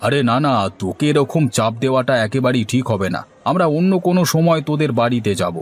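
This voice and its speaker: A man's voice: medium pitch, animated, fast, lightly acted. A man playing a mild, polite village husband in a cartoon story, with a conciliatory way of talking. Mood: reluctant. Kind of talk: Bengali cartoon story dialogue.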